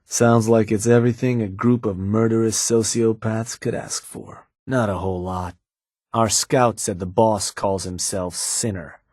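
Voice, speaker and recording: A scheming raider lieutenant in a game, measured and cool. The voice sits low with a husky grain. It is recorded dry and close, with no room sound. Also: male voice, steady tone, a little mellow, no echo. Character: shrewd male raider gang lieutenant, calm. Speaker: game character. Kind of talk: game voice lines.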